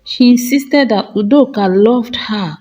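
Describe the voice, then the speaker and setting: A woman narrating a scripted folktale in short, measured, dramatic phrases. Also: a little high, warm tone. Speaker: storyteller.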